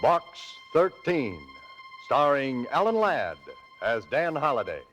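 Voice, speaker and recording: A man's voice: mid-range voice, sing-song, slow. A man announcing in 1940s radio style over music. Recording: muffled old radio recording with music. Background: clear music.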